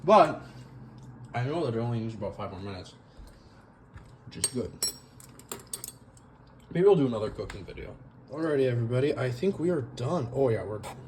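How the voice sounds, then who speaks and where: A man's voice: mid-range voice, very animated, brisk, long pauses, a little mellow, slight background noise. A young man casually cooking a stew and rambling in a self-deprecating way, full of fillers, recorded in his home kitchen on a camera mic that he sometimes turns away from. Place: home kitchen, moving around the stove.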